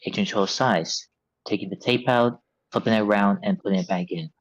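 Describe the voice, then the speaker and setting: A man with a fairly low voice narrates scripted scene directions at a table read. The sound is dry and close, a little dull in the highs. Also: fairly quick, no echo.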